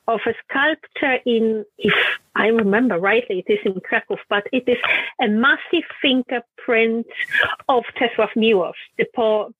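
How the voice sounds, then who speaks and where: A woman moderating a writers' panel, a native Polish speaker talking in a warm, hesitant way over a video call. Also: fairly high pitch, husky, no room sound. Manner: warm, hesitant, thinking aloud. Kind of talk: casual chat. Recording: dry, phone-like thin sound.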